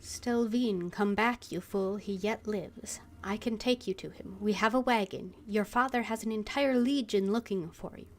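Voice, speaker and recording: A woman's voice: medium pitch, moderate pace, hardly any pauses, a little hiss or hum, natural voice. A woman voicing an urgent, pleading messenger from a fantasy game letter, read out dramatically.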